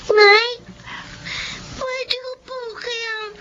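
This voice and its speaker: A woman's voice: extremely high pitch, steady tone, very slow, sharp tone, highs cut off, a little hiss or hum, full character voice. A woman voicing a sick young daughter in hospital, polite and tearful, in a radio drama.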